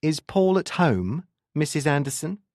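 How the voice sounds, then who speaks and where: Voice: medium pitch, big pitch swings, darkish tone, no echo, pitched above the performer's own voice. Casting: male detective sergeant for audiobook crime fiction, firm, authoritative, dry close sound read by a narrator. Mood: official, firm. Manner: brisk, authoritative, commanding.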